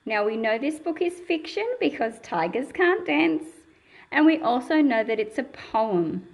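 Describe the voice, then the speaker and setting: A woman's voice: high voice, lively intonation, unhurried. A woman reading a picture book aloud to young children and explaining it in simple words, in a patient, teacherly manner.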